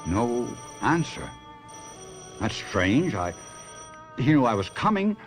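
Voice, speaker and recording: An actor playing a troubled, sentimental stage ventriloquist in a theatrical, brooding 1970s radio mystery drama style. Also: male voice, mid-range voice, big pitch swings, slow pace, over music. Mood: sombre narrating.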